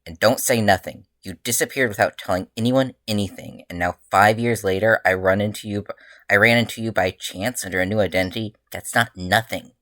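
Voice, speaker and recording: Quiet, deadpan, weary male mentor , as a narrator plays him. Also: low voice, brisk, no echo. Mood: quietly concerned. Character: weary, long-suffering deadpan older male hero teacher.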